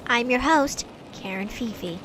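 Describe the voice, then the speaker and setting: A woman's voice: lively intonation, a little slow. A woman playing a blunt, alarmist, conspiracy-minded talk radio host in an amateur scripted skit. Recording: clear rumbling background noise.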